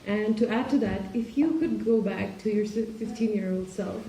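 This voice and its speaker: A woman's voice: medium pitch, moderate pace, mellow. A young woman moderating a library Q&A, polite and a little hesitant as she asks questions. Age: young adult. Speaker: event moderator. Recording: distant, dull, echoey mic.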